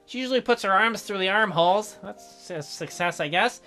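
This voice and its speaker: A man's voice: high voice, moderate pace, pushed and bright. Male let's play narrator, reads story text aloud, wry commentary, music underneath. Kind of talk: reading visual novel narration aloud with wry asides.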